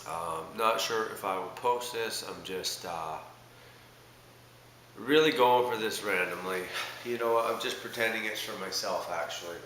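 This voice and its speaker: A man's voice: fairly low pitch, unhurried. A man from Canada with a stuffed-up cold, rambling hesitantly with many fillers in a casual video diary. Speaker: vlogger. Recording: echoey room with loud background noise.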